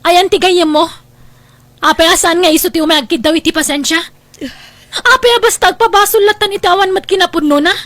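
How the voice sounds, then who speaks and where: A woman's voice: extremely high pitch, a little fast, a bit bright, no echo. A woman playing an outspoken, emotional young woman with an Ilocano Filipino accent in a radio drama. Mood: indignant.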